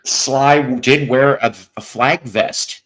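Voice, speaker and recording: A man hosting a casual chat show, telling a long story in a relaxing voice, rambling with pauses and restarts. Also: mid-range voice, a bit bright. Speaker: host.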